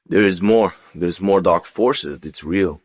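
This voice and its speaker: A man who speaks as a tells his personal story as an interview guest, in a halting, unscripted way with repeated words and phrases. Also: sits low. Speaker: interview guest giving testimony.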